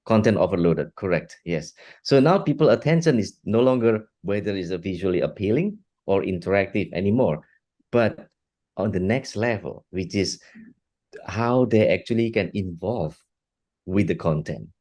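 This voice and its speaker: Deep guy, middle aged, stops and restarts a lot, interview guest. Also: expressive, dark tone. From Singapore. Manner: halting, frequent restarts and repeated words. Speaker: guest, art technologist and educator. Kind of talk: interview answers.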